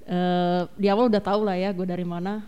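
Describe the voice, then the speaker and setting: A woman's voice: lowish voice, fairly quick, smooth. A young woman co-hosting a student podcast, casually steering the questions. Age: young adult. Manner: steady, prompts questions.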